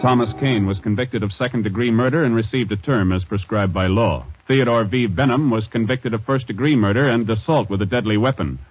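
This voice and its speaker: An American man announcing in formal 1950s radio style, measured and authoritative. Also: fairly low pitch. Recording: muffled old radio recording, faint music.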